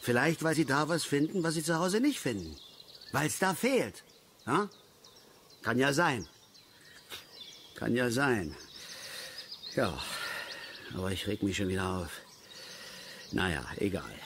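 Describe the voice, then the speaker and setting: A man's voice: medium pitch, big pitch swings, unhurried, pauses often, fairly bright tone, a little hiss or hum. An actor playing an embittered, touchy middle-aged male angler who rambles and grumbles irritably in a radio play. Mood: weary, grumbling. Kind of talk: German radio play acting.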